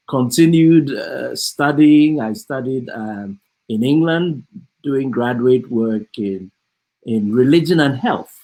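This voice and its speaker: An older man with a Ghanaian accent, speaking in a measured, halting, reflective way as co-host of a conversation. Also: mid-range voice, a little mellow, rough recording. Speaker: co-host, theology professor. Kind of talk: reflective conversational self-introduction.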